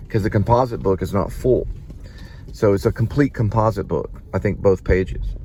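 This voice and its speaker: Low-voiced man rambling over car noise. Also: darkish tone. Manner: rambling, halting, digressive. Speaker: vlogger, amateur playwright, delivery driver. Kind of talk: casual rambling vlog monologue. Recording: noisy car interior, vehicle rumble.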